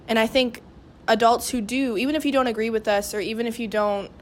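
A woman's voice: a little high, steady tone, fast talker, slight background noise. Earnest teenage girl talking candidly. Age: late teens. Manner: earnest, heavy use of "like". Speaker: student cast member, podcast guest. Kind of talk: casual, candid discussion.

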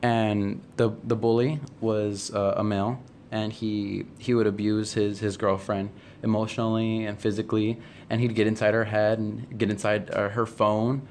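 A man's voice: on the low side, level tone, normal speed, few pauses, a little mellow. A teenage male student explaining something conversationally, often checking in with "right?" and "you know?". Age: teens. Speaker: high school theater student, podcast guest. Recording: clear background noise, unpolished room recording.

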